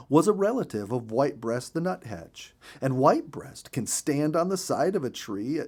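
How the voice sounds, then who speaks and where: Male narrator for a children's animal story: expressive, emphatic, widely swinging intonation. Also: mid-range voice.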